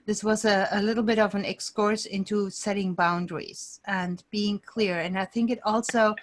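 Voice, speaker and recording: A woman's voice: medium pitch, fairly even intonation, normal speed. A woman hosting an online group coaching session, talking casually to the participants over a video call.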